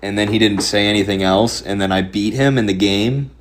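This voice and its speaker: A man's voice: lowish voice, faint music behind. A man with a Midwestern accent, talking politics casually on a live stream in a rambling, sardonic way. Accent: Midwestern American. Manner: rambling, fast-shifting, sardonic.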